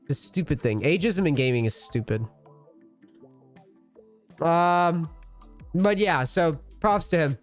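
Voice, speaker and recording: A man's voice: fairly high pitch, expressive, slow, long pauses, faint music behind. A man in his thirties giving casual, rambling commentary as a gaming coach, full of slang and swearing. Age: 30s. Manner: rambling and profane, loose slang. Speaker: League of Legends coach and streamer.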